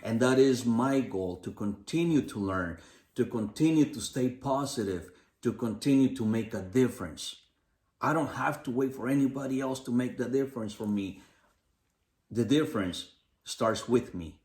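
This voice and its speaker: A man's voice: medium pitch, normal speed, darkish tone. A man from the Los Angeles area co-hosting a community podcast, talking in an upbeat, motivational way with repeated phrases. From Los Angeles area, United States. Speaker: podcast co-host. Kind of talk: casual community podcast chat. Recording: echoey room.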